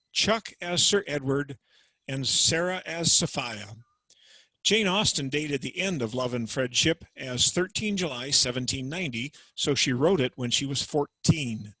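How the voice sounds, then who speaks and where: A man's voice: medium pitch, bright, forceful tone, breathy edge, no room sound, poor audio. A man introducing and summarizing the play in a matter-of-fact way over a video call. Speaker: program host introducing acts. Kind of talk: plain explanatory summary.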